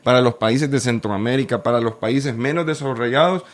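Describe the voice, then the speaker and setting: A man's voice: medium pitch, brisk. Earnest guy from el salvador on a church panel, explains stuff, lots of restarts. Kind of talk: casual discussion.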